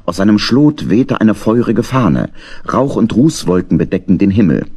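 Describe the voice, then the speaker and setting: Deep husky male storyteller. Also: very animated, moderate pace, warm tone, no room sound, clean studio sound. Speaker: narrator. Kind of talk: dramatic children's story narration.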